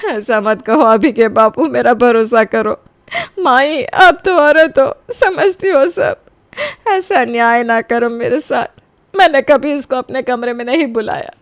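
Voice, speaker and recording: A woman's voice: a little high, measured pace, no room sound. A woman playing a desperate, wronged young wife in a melodramatic audio story, pleading tearfully.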